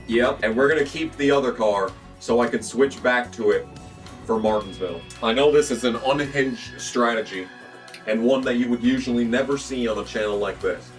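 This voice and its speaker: A young man, hoarse from losing his voice, commentating his racing game run with frequent swearing and excited outbursts. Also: medium pitch, moderate pace, recorded from a distance, soft background music. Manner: excitable, swears often, jumps into yelps when the race turns. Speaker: gaming channel host. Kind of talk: gameplay commentary.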